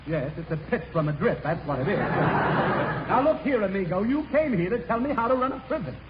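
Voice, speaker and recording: An actor playing a silly, exasperated prison warden in a 1930s radio comedy, clownish and given to muttered sarcastic asides. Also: male voice, high-pitched, big pitch swings, fairly quick. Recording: muffled, noisy 1930s radio broadcast.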